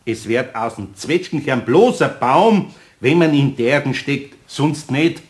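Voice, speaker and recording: A man's voice: medium pitch, lively intonation, a little slow, natural voice. A man playing a kindly but grumbling older master carpenter with a Bavarian accent in a children's radio play, speaking in a homely, patient way.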